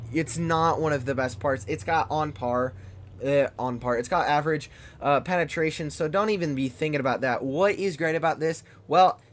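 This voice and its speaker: A man hosting a gaming channel, reviewing a tank game in loose, fast, chatty commentary. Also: a little high.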